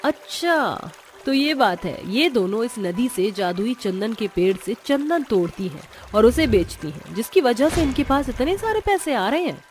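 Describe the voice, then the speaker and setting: Woman acting a high-voiced scheming, greedy daughter-in-law. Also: sing-song, normal speed, darkish tone, slight background noise. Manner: suspicious, scheming, emphatic. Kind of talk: dramatized Hindi moral story acting.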